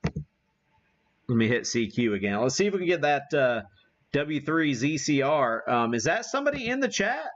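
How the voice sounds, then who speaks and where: A man's voice: on the high side, very animated, normal speed, muffled recording. An American man hosting a casual live radio demonstration, thinking aloud in a folksy way. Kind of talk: casual live-stream chat while operating.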